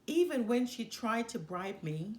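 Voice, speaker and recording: A woman reading a children's book aloud in an expressive storytelling style, in short, deliberate phrases with pauses for emphasis. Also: a little high. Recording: roomy, echoing room sound.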